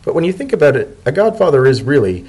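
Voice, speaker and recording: Man playing an earnest, high-pitched winged pony. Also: quick pace, husky. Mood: hesitant, concerned. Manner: hesitant, earnest, excitable. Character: anxious, affectionate winged male pony, warm and hesitant. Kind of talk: dramatic reading of a story.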